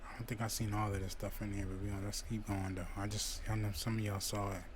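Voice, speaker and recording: A man speaking quietly to his viewers in a casual aside, keeping his voice down. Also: on the low side, flat delivery, quick pace. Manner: quiet, hushed.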